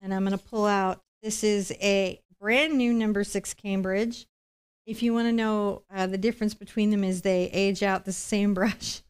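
A woman's voice: medium pitch, steady tone, moderate pace, clean tone. A middle-aged woman giving a conversational step-by-step painting lesson, chatty and explanatory with reassuring asides. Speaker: painting instructor, host.

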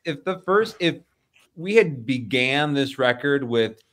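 A man in his thirties in casual review chat, halting as he thinks aloud. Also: mid-range voice, lively intonation, a bit bright. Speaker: album review panelist. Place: small home room. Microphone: close desk microphone.

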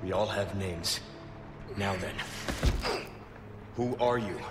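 An actor playing a gruff, sardonic young action hero in a video game, terse and no-nonsense, with an American accent. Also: male voice, sits low, takes it slowly, reverberant, in a large hall, loud music behind the voice. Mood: dry sardonic. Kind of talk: dramatic video game dialogue.

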